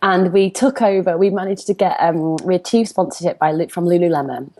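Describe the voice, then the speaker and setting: A woman in her early thirties speaks in a slightly low voice with a Northern English accent. She talks as an interview guest, chatty and animated, telling stories from her own life in a conversational way. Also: fairly quick. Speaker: interview guest, comparison coach. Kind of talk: conversational interview, personal storytelling.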